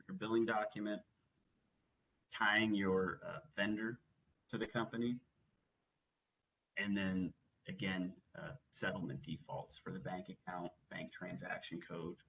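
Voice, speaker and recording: A man working methodically through a software walkthrough as a webinar trainer, explaining each step. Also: low voice, creaky, slightly rough, muffled recording. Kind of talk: instructional software walkthrough, semi-scripted presentation.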